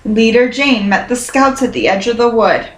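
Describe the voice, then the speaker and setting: A woman reading a children's picture book aloud in a careful, expressive storytelling manner. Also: on the high side, normal speed, noisy background. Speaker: storybook reader. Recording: roomy, echoing sound.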